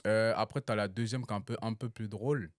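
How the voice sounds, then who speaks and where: A young man from Cameroon chatting casually among friends, telling animated stories full of slang and laughter. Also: fairly low pitch, fast talker, no echo, studio quality. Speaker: guest. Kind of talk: casual chat.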